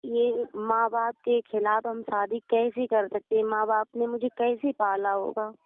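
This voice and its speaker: A woman's voice: on the high side, level tone, measured pace, dry recording. A woman speaking earnestly on the phone in a deferential, pleading manner, readily agreeing with the other person. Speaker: religious storyteller. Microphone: phone call. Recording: narrow tinny phone call recording.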